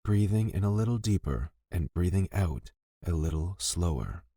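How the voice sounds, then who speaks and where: Male meditation guide, low-pitched, gentle and unhurried delivery of scripted lines, very dry close sound. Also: lively intonation, no room sound. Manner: gentle, soothing, deliberate with pauses between phrases.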